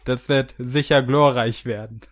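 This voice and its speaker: A man's voice: mid-range voice, fairly even intonation, measured pace. A man chatting casually in a group podcast.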